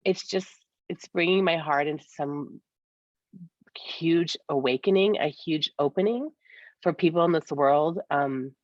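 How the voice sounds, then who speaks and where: A woman's voice: low voice, unhurried. A slightly congested woman co-hosting a podcast, talking conversationally. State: slightly congested from a cold-like illness. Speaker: podcast co-host. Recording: dull, roomy, echoey sound.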